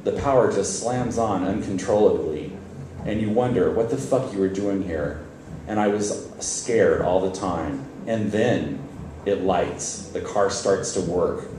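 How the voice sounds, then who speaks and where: A man's voice: sits low, a little slow. A man reading a first-person story aloud on stage, in a wry, conversational way with occasional stumbles. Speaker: reader at a literary event. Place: live reading event, on stage. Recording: very echoey hall, distant mic, faint noise.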